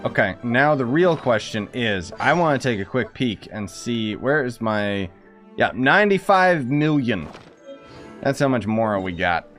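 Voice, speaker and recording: A man's voice: mid-range voice, sing-song, unhurried, a bit bright. A young man giving casual gameplay commentary in a rambling, slangy, often exasperated manner. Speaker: game streamer. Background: game music.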